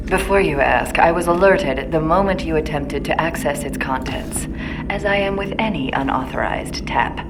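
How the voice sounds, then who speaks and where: A woman's voice: sits low, moderate pace, background noise. A woman playing a stern, imperious scientist in a video game cutscene, clipped and haughty in manner. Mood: cold, indignant. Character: stern, imperious older female scientist with authority.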